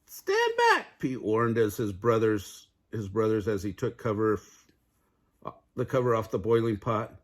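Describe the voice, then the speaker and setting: A man narrating a children's fairy tale aloud in an expressive storytelling style. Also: on the low side, unhurried. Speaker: storybook reader.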